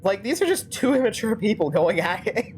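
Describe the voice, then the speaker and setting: A woman's voice: medium pitch, sing-song, fairly quick, darkish tone, a little hiss or hum. A woman streamer improvising rambling, sarcastic commentary and role-play while playing a game. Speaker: streamer fan-dubbing a game.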